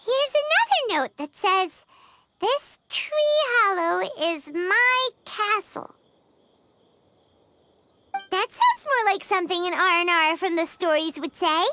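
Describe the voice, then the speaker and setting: A shy young girl ranger in a video game, with a tiny, very high voice that lilts freely. She speaks slowly and haltingly, earnest and innocent. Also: animated, background noise, exaggerated voice. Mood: curious. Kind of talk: video game character acting.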